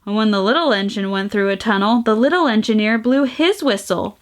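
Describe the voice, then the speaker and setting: A woman hosting a children's storytime, reading aloud in an animated, sing-song way with exaggerated emphasis and playful sound effects. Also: medium pitch, a little fast. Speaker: storytime host reading a picture book aloud.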